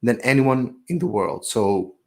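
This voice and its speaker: A man's voice: medium pitch, a little slow, gravelly. A man co-hosting a podcast in casual chat, blunt and teasing, swearing freely. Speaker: podcast co-host.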